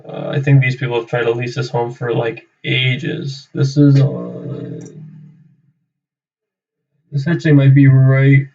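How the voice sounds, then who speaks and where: A man's voice: mid-range voice, level tone, measured pace, vocal fry, long pauses, warm tone. Young man, distant slightly dull mic. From Canada. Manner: rambling, thinking aloud, tags sentences with "right?". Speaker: realtor hosting a live cold-calling stream. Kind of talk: casual off-the-cuff livestream chat.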